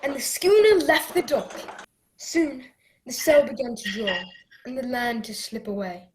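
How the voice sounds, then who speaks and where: An earnest young cabin boy played by a woman narrates a radio play. The voice is fairly high, with animated rises and falls in a storytelling manner. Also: unhurried, slight background noise. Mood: earnest, wondering.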